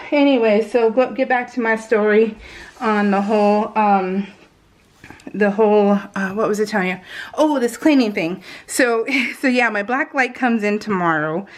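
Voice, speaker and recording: A middle-aged American woman telling personal stories in a casual, informal, animated way, slipping into retold dialogue. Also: medium pitch, a little slow. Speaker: craft video host. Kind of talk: casual chatty storytelling.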